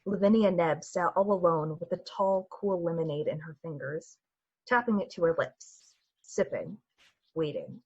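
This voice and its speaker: A woman narrating a radio play in a measured, atmospheric, dramatic storytelling style. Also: fairly low pitch, a little mellow, husky, muffled recording. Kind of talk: literary radio-play narration in 1940s manner. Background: music.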